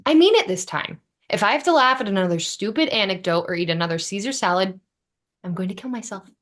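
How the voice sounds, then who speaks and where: Actress as exasperated sarcastic young sitcom woman, medium pitch, punchy emphasis. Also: expressive, fast talker, lightly acted. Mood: fed up. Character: wry, exasperated, sharp-tongued young woman. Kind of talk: sitcom script table read.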